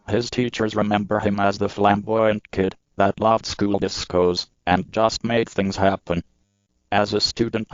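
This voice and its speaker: Steady low-voiced male news narrator. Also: clear, resonant tone, no echo, highs cut off. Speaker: news reporter.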